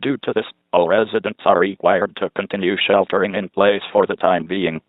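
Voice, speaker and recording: Low male alert announcer, tinny line. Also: fairly even intonation, a little fast, heavy background noise. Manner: formal, impersonal, synthetic delivery. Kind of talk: scripted emergency alert reading. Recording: dry, tinny phone-like broadcast.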